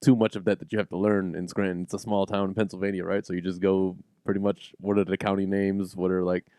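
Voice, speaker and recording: A man co-hosting a podcast in casual banter, swearing casually and quick to find things funny. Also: deep voice, little pitch movement, mellow, smooth, no room sound. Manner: laughs often, swears casually. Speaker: podcast co-host.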